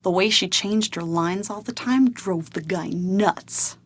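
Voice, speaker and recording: A woman's voice: medium pitch, slow, dry recording. A woman playing a dramatic, gossipy film crew member in an adventure game, telling stories in a chatty way. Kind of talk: scripted adventure game dialogue.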